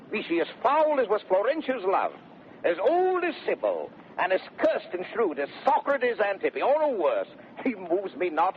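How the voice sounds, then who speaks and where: An actor playing a blustering, boastful young gentleman adventurer, declaiming in a 1930s radio Shakespeare play on a very tinny, noisy recording. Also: high voice. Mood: brisk, jaunty. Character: swaggering, impudent young gentleman adventurer and fortune-seeker. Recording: very tinny vintage radio recording, faint noise.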